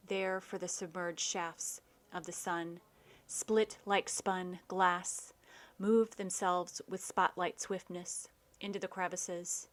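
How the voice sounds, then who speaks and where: A woman hosting a poetry program, giving scripted narration and reading verse in a measured, deliberate way. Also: on the low side. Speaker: host of a poetry radio program.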